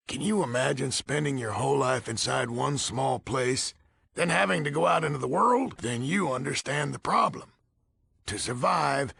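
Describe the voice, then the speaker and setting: Gravelly, gruff old American commander. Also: male voice, fairly high pitch, sharp tone, dry recording, heavily acted. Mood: grudgingly friendly. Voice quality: gravelly, raspy. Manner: folksy, blunt, grumbling. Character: gruff, weary old military order leader, blunt. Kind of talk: video game character dialogue.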